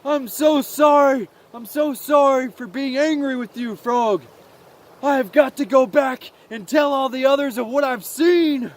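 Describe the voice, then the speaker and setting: A man voicing a small, earnest and naive mouse in a story, halting and repeating words. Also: extremely high pitch, voice pushed up. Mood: apologetic.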